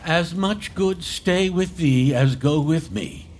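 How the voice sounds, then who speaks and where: A pious old nobleman with a high voice. He delivers Shakespearean verse solemnly, resigned and loyal, in radio drama style. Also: darkish tone, a little hiss or hum. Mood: sombre farewell. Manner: solemn, measured formal verse.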